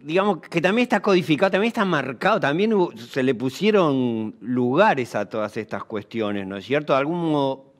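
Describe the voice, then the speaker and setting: Casting: male interviewer, moderately high pitch, tentative and conversational. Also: expressive, a little fast.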